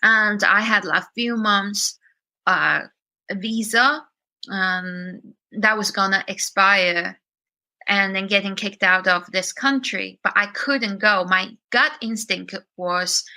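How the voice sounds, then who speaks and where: Woman w chinese-british accent, really bright tense voice, talks openly about her past, lots of uh and um. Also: mid-range voice, slow pace. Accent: Chinese with some British. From China. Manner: candid, reflective storytelling with many fillers. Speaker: guest musician. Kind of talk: podcast interview.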